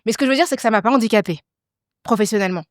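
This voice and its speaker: A woman's voice: fairly high pitch, racing, dry recording, studio quality. A woman from Paris with a Parisian accent, hosting an interview and asking engaged, searching questions. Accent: Parisian French. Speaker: interviewer, host. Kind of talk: casual interview chat.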